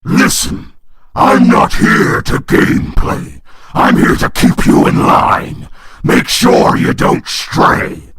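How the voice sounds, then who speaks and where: A man voicing a cruel, mocking male demon clown in a fan comic dub, with a raspy snarl and cackling taunts. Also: fairly low pitch, exaggerated voice. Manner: taunting, cackling, shouting insults.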